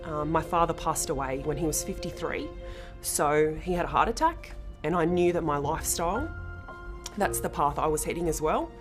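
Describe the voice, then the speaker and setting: A woman's voice: deep voice, animated, normal speed. An Australian woman giving a candid, plain-spoken personal testimonial. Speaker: fitness challenge participant. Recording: clear background music.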